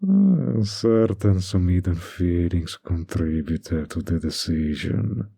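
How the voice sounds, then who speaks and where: A man playing a suave, manipulative villain in a flirty roleplay audio, with a breathy, gravelly voice and a slow, teasingly polite, condescending manner. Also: deep voice, animated, dark tone, no room sound, full character voice. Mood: sly, musing.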